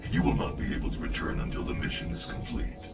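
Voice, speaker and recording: An actor playing a solemn, knowing guide in a video game, speaking in a formal, measured, grave manner. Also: male voice, close to an everyday voice. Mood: matter-of-fact, instructive. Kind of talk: scripted game cutscene narration. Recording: distant voice under loud music.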